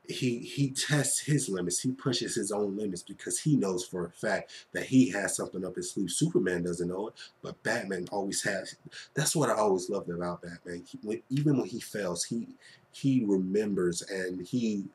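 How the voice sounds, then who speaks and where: Male online reviewer, lowish voice, informal and gushing, conversational fan delivery with repeated phrases for emphasis. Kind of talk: casual, enthusiastic fan review to camera or mic.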